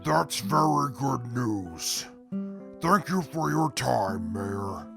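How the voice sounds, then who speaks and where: A man acts a courteous wasteland gentleman in an audio drama. He speaks slowly and formally in a mid-pitched, heavily gravelled voice, with plucked guitar music behind him. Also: lively intonation, full character voice. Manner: formal, polite, deliberate. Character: courteous, formal, measured male guest of the mayor. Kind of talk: post-apocalyptic audio drama acting.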